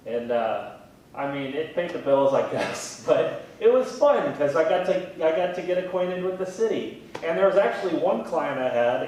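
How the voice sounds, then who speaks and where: Wry man in a very echoey room. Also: darkish tone. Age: 30s. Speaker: museum communications specialist, interviewee. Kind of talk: casual interview chat. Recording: very echoey room, distant mic, faint rumbling noise.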